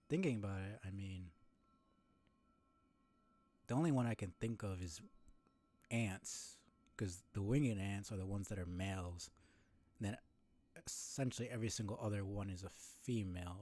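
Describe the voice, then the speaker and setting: A man's voice: on the low side, darkish tone. A man giving casual game commentary in a musing way, thinking aloud as he goes. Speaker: game streamer.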